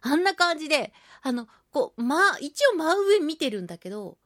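High-voiced woman hosting chatty radio talk. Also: moderate pace, no room sound, studio quality. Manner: animated storytelling with sound-effect words and playful polite phrasing. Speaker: radio show host. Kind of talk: casual solo radio talk.

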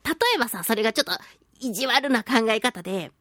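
A woman's voice: on the high side, a little fast, dry recording, studio quality. A woman hosting a talk show, telling a personal anecdote in a casual, playful and chatty way. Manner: animated, chatty storyteller with playful self-mockery. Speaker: host of a talk radio show, voice actress.

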